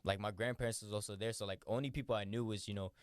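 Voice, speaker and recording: A young man, a boxer, chatting casually in an interview, relaxed and rambling with lots of "like" and "you know". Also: lowish voice, very fast, clear, resonant tone, dry recording, studio quality. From born in New York, raised in Florida. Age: young adult. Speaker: professional boxer, interview guest.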